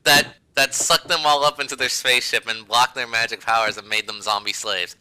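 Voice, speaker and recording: A man's voice: mid-range voice, fairly even intonation, fairly quick, bright, forceful tone, clear, resonant tone, rough recording. A man reading fan fiction aloud as a podcast host, amused and hamming up the emphasis. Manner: breaks into laughter while reading, hammy emphasis.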